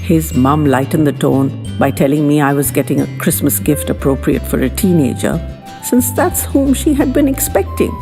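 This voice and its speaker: A genteel woman in her seventies, acted by a female narrator tells her story with animated, swooping intonation. Her voice sits slightly low, in long reverb over music. The wording is old-fashioned and dramatic, in the manner of an audio story. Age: 70s.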